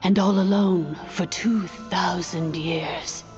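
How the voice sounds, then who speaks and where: Slow, stately ancient priestess, low-pitched. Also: female voice, fairly even intonation, darkish tone. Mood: solemn, gentle. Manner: formal, measured, stately. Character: solemn ancient priestess lord, composed and compassionate. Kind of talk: scripted video game dialogue. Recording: dull muffled audio, long hall reverb. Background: game music.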